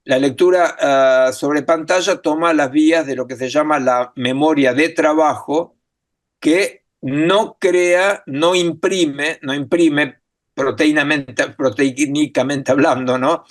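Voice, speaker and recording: A man's voice: a little high, fairly even intonation, takes it slowly. A man giving an academic talk in a language that is not his first, thinking aloud with many fillers and tag questions. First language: not Spanish. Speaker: lecturer.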